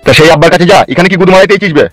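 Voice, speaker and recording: A man's voice: sits high, rapid-fire, no room sound, soft background music, natural voice. A man voicing a furious, foul-mouthed middle-aged village father in a comic cartoon, ranting. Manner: hectoring, abusive rant. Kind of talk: comic Bengali cartoon dubbing.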